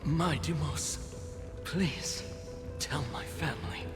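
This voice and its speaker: An actor voicing a dying scholarly soldier in a video game, proud, pleading and declamatory, in long reverb. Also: male voice, on the high side, takes it slowly, over music. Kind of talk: dramatic game voice acting.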